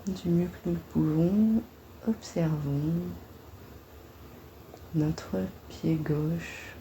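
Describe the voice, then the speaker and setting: A woman guiding a body-scan meditation in a gentle, soothing, unhurried manner, leaving long pauses between phrases. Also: deep voice, a little hiss or hum.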